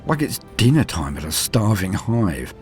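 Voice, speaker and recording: A proud, battle-hardened space marine veteran, read by a man speaks in a very deep, low voice, measured and matter-of-fact. Faint music plays underneath. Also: sing-song. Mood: grim. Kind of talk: first-person fiction reading.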